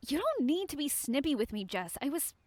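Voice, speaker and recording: A woman plays an eager young female head counselor with a high, very melodic voice. She is perky and inquisitive, in a horror audio drama recorded dry and close. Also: big pitch swings, brisk, a little mellow, no echo. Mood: hurt. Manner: bubbly, inquisitive.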